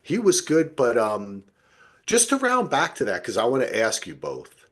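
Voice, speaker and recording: A man with an American accent hosting a casual TV discussion, sweary and quick to act out imagined dialogue. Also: mid-range voice. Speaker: podcast host. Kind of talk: casual chat about television.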